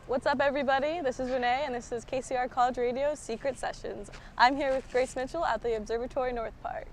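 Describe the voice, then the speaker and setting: A woman's voice: high voice, fairly even intonation, quick pace, music in the background. A young woman hosting a casual college radio interview, chatty and loose with fillers.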